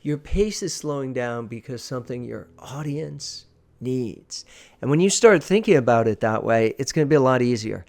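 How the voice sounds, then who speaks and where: A man's voice: mid-range voice, animated, moderate pace, gravelly, a little mellow. A man giving an informal instructional talk as a presentation coach, stressing his points emphatically and repeating key phrases.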